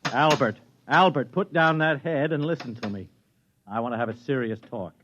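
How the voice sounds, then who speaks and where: An actor playing a fretful suburban husband with a hesitant, stammering manner in a 1950s radio drama. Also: male voice, mid-range voice, normal speed, fairly bright tone, slight background noise, lightly acted. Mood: flustered. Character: flustered, fretful suburban husband, an ordinary man. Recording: dull vintage radio recording.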